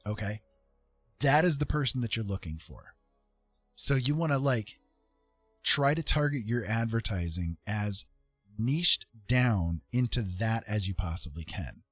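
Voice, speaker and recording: A man with a slightly low, husky voice hosts a casual livestream. He gives advice in a loose, rambling way, checking in often with little tag questions. Music plays in the background. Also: lively intonation, a little slow, a bit bright, no room sound. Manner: informal, rambling, often checks in with "right?" and "you know". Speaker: livestream host giving advice. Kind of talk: casual advice chat to viewers.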